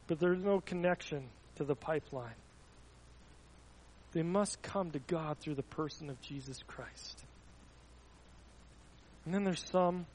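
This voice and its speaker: High-voiced man preaching a story sermon. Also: low-quality recording. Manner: storytelling, with frequent stumbling restarts. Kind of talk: sermon with illustrative stories.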